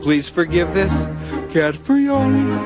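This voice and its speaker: A man's voice: high voice, big pitch swings, voice pushed up. A man voicing a lazy, sleepy grown male cartoon cat, half singing over music. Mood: drowsy. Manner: slow sung-through patter over music.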